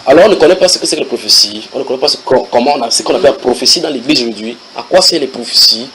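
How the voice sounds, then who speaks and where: A man preaching a sermon to a congregation, reading English passages aloud and translating them with lively asides to his listeners. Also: mid-range voice, racing, background noise. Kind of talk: sermon teaching.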